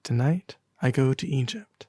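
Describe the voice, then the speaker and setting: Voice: mid-range voice, expressive, a little slow, mellow, no echo. A performer voicing a small, proud, fussy male swallow from a storybook. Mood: high-spirited.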